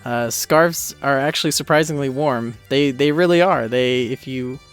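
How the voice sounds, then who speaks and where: A man's voice: medium pitch, moderate pace, clear, resonant tone. Guy chatting thru his own episode commentary, kinda unsure of himself, cartoon soundtrack under him. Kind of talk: casual director's commentary. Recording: music and episode audio underneath. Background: cartoon soundtrack playing underneath.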